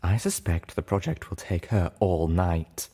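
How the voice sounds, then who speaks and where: A man's voice: low voice, measured pace, warm tone, slightly rough. A man voicing an elegant, teasing female unicorn singer in an audiobook, with a coy, theatrical, purring delivery. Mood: sly, purring.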